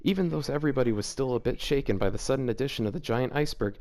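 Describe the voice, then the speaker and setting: A man with an ordinary mid-pitched voice reads a fan story aloud in a loose, casual way. He keeps stopping to laugh and mock the writing. The tone stays chatty and amused. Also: very fast, a little mellow. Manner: amused, laughing, mocking asides. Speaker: host reading fanfiction aloud. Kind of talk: casual reading with joking commentary.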